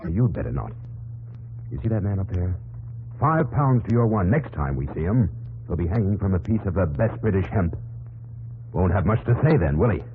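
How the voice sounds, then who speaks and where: A man's voice: sits low, animated, moderate pace, pauses often. An actor playing a cynical, wary colonial man in a sneering 1950s radio drama style. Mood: scornful, wary. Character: cynical, fearful colonial man doubting the revolution. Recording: tinny 1950s radio broadcast.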